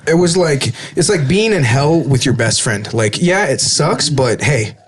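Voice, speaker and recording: A man guesting on a podcast, telling stories with a joking manner and a slightly husky voice. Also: mid-range voice, animated, unhurried, a loud crowd. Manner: jokey storyteller who slips into put-on accents. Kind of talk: casual podcast chat. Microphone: studio podcast headphones and mic.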